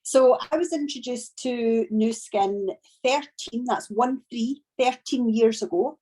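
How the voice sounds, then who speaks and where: Scottish woman telling her story on a zoom call, kinda husky, talks slow on purpose. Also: a little high, dark tone, rough recording. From Scotland. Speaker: business trainer. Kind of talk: training talk on a video call. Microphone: video call.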